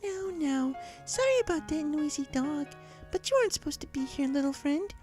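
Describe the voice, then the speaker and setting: A man voicing a timid, gentle young female pegasus pony in a soft falsetto, polite and hesitant, in a story reading. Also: very animated, normal speed, faint music behind. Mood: gently coaxing.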